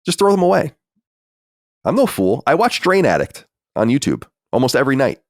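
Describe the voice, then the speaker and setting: A man's voice: medium pitch, wildly swooping pitch, fairly quick, dry recording, clean studio sound. A man in his forties co-hosting a podcast, chatting casually, reading listener mail aloud and answering bluntly with sighs and swearing. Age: 40s. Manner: sighing, blunt and profane, reads letters aloud. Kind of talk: casual chat.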